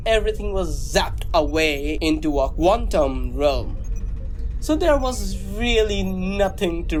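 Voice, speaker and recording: Grandiose bumbling space captain, theatrical, slow, wildly varied pitch, faint music behind, radio comedy. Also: male voice, slight background noise, exaggerated voice, low-quality recording. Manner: pompous, flowery, theatrical. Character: pompous, bumbling, grandiose male starship captain. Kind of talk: sci-fi comedy radio drama.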